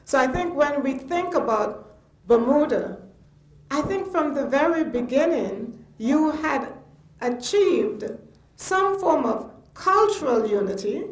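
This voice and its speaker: An older woman from Trinidad with a Trinidadian accent, speaking off the cuff at a public lecture in an emphatic, halting way. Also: high voice, expressive. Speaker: guest lecturer. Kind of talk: spontaneous public lecture commentary. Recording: echoey room.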